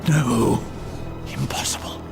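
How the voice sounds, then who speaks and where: A man playing a haughty undead chancellor in a dark fantasy game, speaking in a pompous, formal manner. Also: takes it slowly, natural voice. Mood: dismayed. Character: haughty undead chancellor guarding a dead king's court. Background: loud music.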